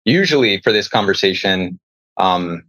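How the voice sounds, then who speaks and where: A man's voice: sits low, animated, normal speed, fairly bright tone, no echo. A man from the northeastern United States answering as an executive interview guest, in careful, corporate phrasing.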